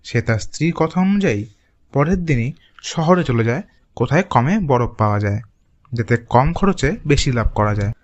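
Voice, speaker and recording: A man's voice: mid-range voice, animated, moderate pace, muffled recording. A man narrating a scripted village moral tale as a storyteller.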